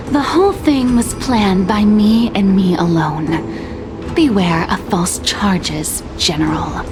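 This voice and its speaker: A woman voicing a defiant, bitter conspirator in a fantasy game, with theatrical, drawn-out delivery. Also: mid-range voice, music in the background, lightly acted. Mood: defeated, defiant. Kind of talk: anime-style fantasy game voice acting.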